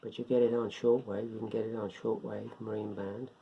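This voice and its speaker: A man giving an informal, unscripted beginners' explainer in a rambling, step-by-step way, repeating himself, with a gravelly, husky voice. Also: fairly low pitch, flat delivery, brisk. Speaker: hobbyist presenter of a beginners' guide. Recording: dull lo-fi home recording.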